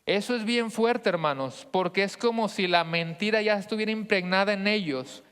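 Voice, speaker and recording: A man's voice: high-pitched, moderate pace, fairly bright tone, clean tone. A man with a northern Mexican accent preaching as a pastor, teaching conversationally and often checking in with questions. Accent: northern Mexican Spanish. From Monterrey, northern Mexico. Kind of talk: teaching sermon to a congregation. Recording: long hall reverb.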